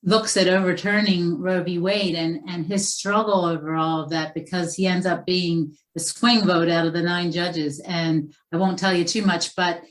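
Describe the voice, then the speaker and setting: A woman's voice: lowish voice, fairly even intonation, moderate pace, few pauses, rough recording. A woman novelist being interviewed, talking in a chatty way with many fillers and restarts. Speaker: author guest. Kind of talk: conversational interview.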